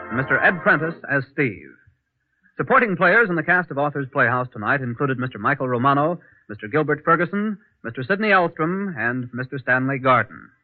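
A man announcing credits in a formal, measured 1940s radio style. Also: a little high. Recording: tinny 1940s radio recording.

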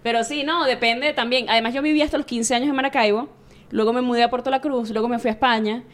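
A Venezuelan woman in her late thirties, a sports journalist telling stories candidly in a relaxed interview. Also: a little high. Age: 30s. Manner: chatty and candid, rapid storytelling. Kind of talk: casual interview chat.

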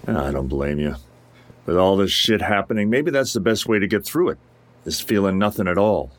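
A man's voice: sits low, lively intonation, normal speed, no room sound. A man playing a mocking, swaggering, blunt ex-Marine enforcer in an audio drama. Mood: casual, blunt. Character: cocky, needling, foul-mouthed ex-Marine tough guy. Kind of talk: audio drama acting, taunting monologue.

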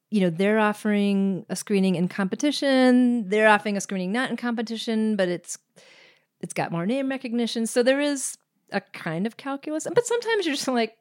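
A woman speaking casually as an interview guest, candid and self-deprecating. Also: medium pitch. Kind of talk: casual conversation.

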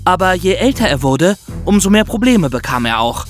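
A man's voice: sits high, big pitch swings, moderate pace, faint music behind. A male podcast co-host, narrating and discussing true crime.

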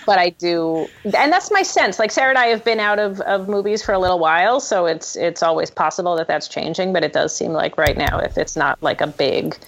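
Dull-sounding, lower-pitched woman guest screenwriter. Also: a little fast, hardly any pauses. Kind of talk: casual interview conversation. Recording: dull, lo-fi audio.

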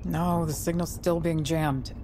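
A woman's voice: deep voice, fairly even intonation, measured pace. A woman playing a tense, sardonic, bossy fugitive in an audio drama. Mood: worried. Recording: music and vehicle noise behind.

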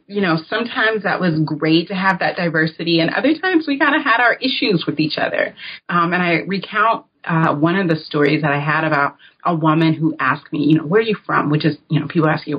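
A low, husky woman in her thirties talks through her research in a loose, animated way, full of fillers and quick jumps up in pitch. The sound is dull and lo-fi. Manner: animated and chatty, with many fillers, rising in pitch when amused. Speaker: author guest, political science professor. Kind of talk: conversational interview. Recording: dull lo-fi audio.

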